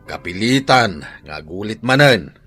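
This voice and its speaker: A lowish-voiced, principled village father. He has a Filipino accent and speaks with firm, reasoning authority in a radio drama. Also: expressive, measured pace, over music. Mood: stern. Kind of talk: Ilocano audio drama acting.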